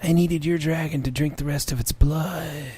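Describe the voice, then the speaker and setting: A man voicing a solemn, wise griffin with a slow, portentous, whispery delivery in a mock-dramatic story reading. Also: fairly high pitch, fairly even intonation, mellow, no echo. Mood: solemn, ominous. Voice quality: breathy, whispery. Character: solemn, wise griffin creature telling dark lore.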